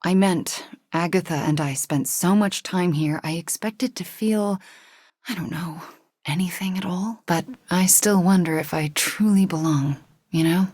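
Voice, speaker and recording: A powerful young sorceress from a superhero game. Her voice sits a little low for a woman and is recorded very dry, with no room around it. She speaks earnestly and introspectively, a self-doubting heroine with a wry edge. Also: unhurried, a little mellow, breathy edge, dry recording. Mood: guilty, earnest. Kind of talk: video game voice acting.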